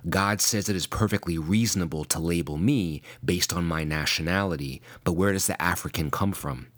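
Very deep-voiced man preaching. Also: moderate pace, a bit bright. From United States (New York). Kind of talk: scripted sermon.

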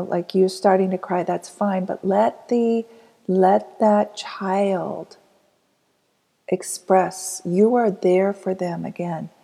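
A woman leading a guided inner child healing meditation, speaking softly and slowly and often dropping to a whisper. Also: mid-range voice, vocal fry, slightly rough. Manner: soft, slow and soothing, often dropping to a whisper.

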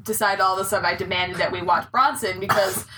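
A woman's voice: medium pitch, fairly even intonation, fast talker, background noise. A woman co-hosting a casual podcast, rambling through her thoughts and filling them with "like". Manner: rambling, heavy use of "like". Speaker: podcast co-host. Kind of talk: casual chat. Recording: distant mic in a room.

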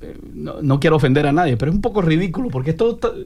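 A man's voice: a little high, animated, racing, darkish tone. Wry, joking man on a panel. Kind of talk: casual panel discussion.

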